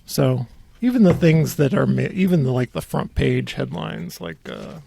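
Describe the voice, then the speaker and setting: Gravelly, creaky man chatting on a podcast. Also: on the high side, normal speed, warm tone, a little hiss or hum. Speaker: podcast host. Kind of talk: casual local-politics podcast chat.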